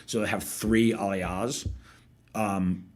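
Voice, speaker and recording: A man's voice: fairly low pitch, fairly even intonation, slow. A man in his forties from the United States, a podcast guest telling a long story in a chatty, digressive way and laughing at his own asides. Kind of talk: casual storytelling conversation.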